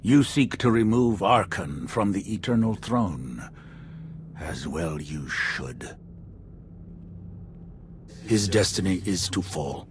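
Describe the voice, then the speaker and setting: An actor playing a solemn mystic elder in a video game, speaking formally and gravely as if administering a trial. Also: male voice, low-pitched, animated, slow, pauses often, slight background noise. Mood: accusing, solemn. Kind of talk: dramatic video game acting.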